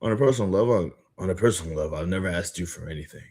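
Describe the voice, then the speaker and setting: A grave veteran assassin, read out by a man, speaking in a low, husky voice. He is formal and fatalistic, a betrayed servant of duty in a dramatic game story. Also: very fast, dark tone. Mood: solemn, pleading. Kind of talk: dramatic reading of story dialogue.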